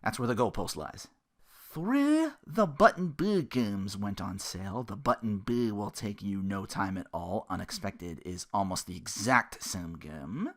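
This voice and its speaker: A man hosting a podcast, giving casual, rambling gaming commentary with little acted-out asides. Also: mid-range voice. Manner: rambling, animated asides, acting out little quotes. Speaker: podcast host.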